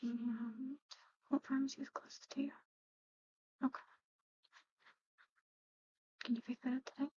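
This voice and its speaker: A woman performing a soft-spoken, whispered ASMR roleplay as a soothing, slightly eerie medic, murmuring gently. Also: sits high, little pitch movement, unhurried, long pauses, lo-fi sound. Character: soothing eerie female medic tending a patient.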